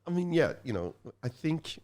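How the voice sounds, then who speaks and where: A man's voice: on the high side, big pitch swings, moderate pace, a little mellow. A middle-aged man from Ireland with a Dublin accent, chatting as a guest musician, rambling and restarting his phrases. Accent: Dublin Irish. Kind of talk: casual interview chat.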